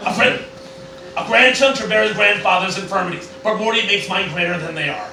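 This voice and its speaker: A man's voice: high voice, a little fast, creaky, pushed and bright, heavily acted. A man playing a bitter, volatile old scientist grandfather, theatrical and stammering, in a comic Shakespearean parody. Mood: hurt, bitter. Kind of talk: Shakespearean parody stage acting. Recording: very echoey room, distant mic, noisy.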